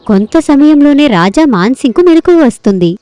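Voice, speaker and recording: A woman reading scripted narration for an animated moral story as its storyteller. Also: high-pitched, moderate pace, dry recording.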